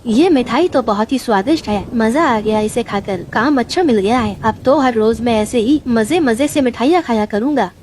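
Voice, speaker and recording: A woman's voice: fairly high pitch, normal speed, hardly any pauses, darkish tone, a little hiss or hum, lightly acted. A woman voicing a lazy, greedy male crow in a cartoon story, boastful and gloating. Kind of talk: Hindi cartoon story voice acting.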